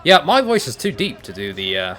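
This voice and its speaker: A young man casually commentating a fight video game, excitable and breaking into shouts at big moments. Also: mid-range voice, fairly quick, a faint crowd.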